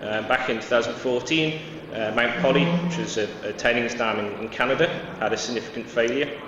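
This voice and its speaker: A man's voice: mid-range voice, a little fast, distant mic. A man from Wales giving a conference presentation, hesitant, with many fillers and restarts. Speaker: conference speaker. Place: large conference hall. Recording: echoey hall, dull sound, noisy.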